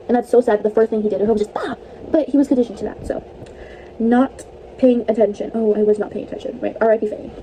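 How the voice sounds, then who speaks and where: A woman's voice: fairly high pitch, mellow, slight background noise, rough recording. A young woman vlogging casually about movies, chatty and rambling, laughing often, her voice a bit stuffy and tired. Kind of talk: casual movie review vlog.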